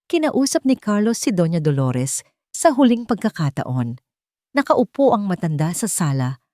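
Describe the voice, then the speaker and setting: A woman's voice: lowish voice, darkish tone, no echo, studio quality. A woman with a Filipino accent narrating a scripted dramatic story.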